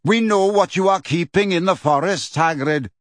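A man voicing a proud, calm male centaur leader in an audiobook, speaking in a stern, smooth and formal way. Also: on the high side, no echo. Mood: warning. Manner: measured, smooth, formal, solemn.

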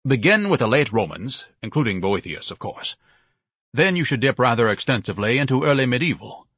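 A man's voice: medium pitch, big pitch swings, a little fast, a bit bright, husky, dry recording, studio quality, voice pushed up, heavily acted. A man voicing a pompous, bombastic scholar with a solemn, orator-like way of talking and florid diction, in a storybook narration. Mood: solemn, lecturing. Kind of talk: audiobook character acting.

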